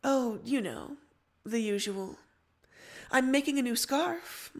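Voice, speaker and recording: A woman's voice: a little high, lively intonation, slow pace, full character voice. Woman voicing a dry, insistent young teenage girl. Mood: dry, teasing. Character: Rose, sardonic, concerned young teenage girl. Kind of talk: fan fiction audio reading.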